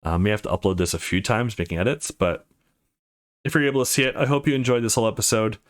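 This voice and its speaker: A man's voice: lowish voice, quick pace. A man giving informal let's play commentary as host, with hesitant asides. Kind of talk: let's play commentary, reading dialogue aloud.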